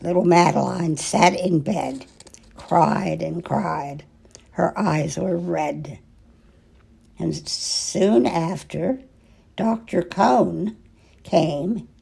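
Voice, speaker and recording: An older woman reading a children's picture book aloud in an expressive, gentle way, pausing dramatically between short phrases, with a husky, gravelly voice. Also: lowish voice. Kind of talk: children's storybook read-aloud, slow and expressive.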